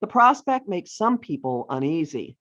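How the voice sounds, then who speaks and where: A middle-aged or older woman reading her own humorous essay aloud with deliberate phrasing and wry emphasis, as an author on a video call. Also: lowish voice, very animated, fairly bright tone. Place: virtual author talk over video call. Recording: dull, lo-fi online call audio.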